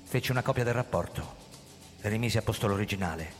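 Casting: male actor as desperate middle-aged cop on the run, deep-toned radio drama lead in a very reverberant hall. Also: fast, lightly acted. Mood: agitated. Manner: theatrical, swinging from hushed narration to agitated outbursts. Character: hunted middle-aged police chief, driven and desperate, narrating his story. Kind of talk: dramatic radio play narration and acting. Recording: long hall reverb, music underneath. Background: music.

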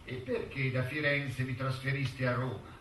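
A man's voice: mid-range voice, fairly even intonation, normal speed, fairly bright tone, natural voice. An actor playing an insistent, accusatory male lawyer who hammers a witness with repeated questions in a theatrical courtroom drama. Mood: probing. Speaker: stage actor. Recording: roomy, distant stage recording with faint noise.

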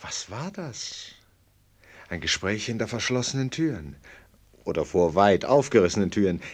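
A man's voice: lowish voice, animated, moderate pace. A man acting a knowing, sardonic commentator in a radio play, with ironic, teasing, rhetorical delivery. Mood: probing, rhetorical. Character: sardonic knowing male commentator needling a prince.

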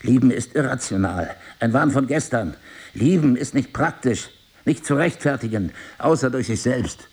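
A wounded, devoted husband in middle age, speaks with a slightly husky voice. He reasons deliberately and articulately, like someone lecturing his wife, with an ironic edge. It is intimate dramatic dialogue from a stage play. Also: medium pitch, animated, darkish tone. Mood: earnest, persuasive. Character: reproachful yet devoted husband, wounded, philosophizing crime writer.

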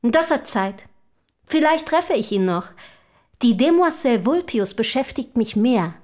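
A woman's voice: fairly high pitch, lively intonation, unhurried, a little mellow. An actress in a German radio play voicing an eager, self-assured young woman of a rich family, speaking formally and articulately. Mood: polite, insistent.

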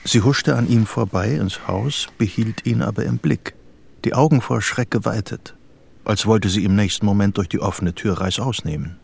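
Literary narrator, fairly low, creaky voice, wry, faintly contemptuous, radio drama. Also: male voice, normal speed. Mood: observing, tense.

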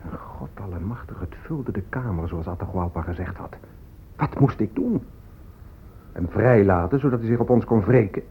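An actor playing a grave leader recounting conquest in deliberate radio drama monologue. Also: male voice, lowish voice, slight background noise, lightly acted. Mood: awed, troubled. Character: grave man recounting conquest, warrior or chief. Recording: tinny, phone-like old radio recording.

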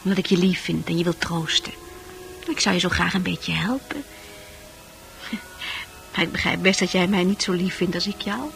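A woman's voice: fairly low pitch, moderate pace, gravelly, pauses often, fairly bright tone. An actress in a Dutch radio play, playing a worldly, coaxing woman of easy virtue with a chatty, knowing manner. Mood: coaxing, tender. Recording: radio play with music behind.

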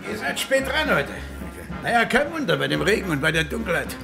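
An actor playing a sociable roadside diner owner in a vintage radio crime play. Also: male voice, sits high, very animated, fairly quick, vocal fry, over music, exaggerated voice. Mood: chatty. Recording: old radio play production.

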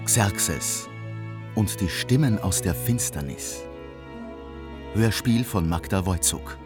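A man's voice: low-pitched, animated, slow, music in the background. A man reading scripted radio credits as an announcer.

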